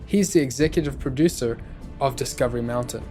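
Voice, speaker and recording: A man's voice: mid-range voice, a little fast, mellow, soft background music. A man hosting a podcast, reading scripted narration.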